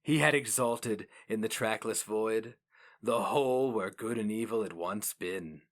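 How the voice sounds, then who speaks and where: A male narrator delivers a dark-toned fantasy tale as a dramatic reading. His voice sits on the low side and he takes his time, very slowly and deliberately. The delivery is solemn and theatrical throughout.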